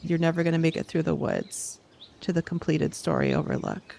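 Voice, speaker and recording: A woman hosting as a camp director, coaching and storytelling in short, deliberate, encouraging phrases. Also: deep voice, fairly even intonation, creaky, mellow, slight background noise. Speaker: host, playing a camp director guiding campers. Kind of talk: guided imagery coaching, storytelling.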